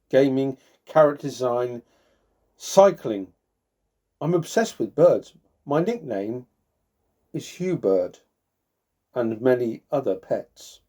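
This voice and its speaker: A man's voice: medium pitch, mellow. A man reading a first-person school story aloud as a scripted narration. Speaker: reader at a book launch.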